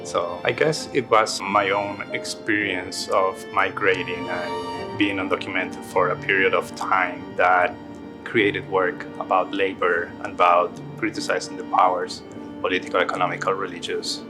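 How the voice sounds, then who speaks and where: A man's voice: deep voice, level tone, a little slow. A man from Ecuador, an artist speaking reflectively in a documentary interview with frequent restarts. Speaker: visual artist. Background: guitar music.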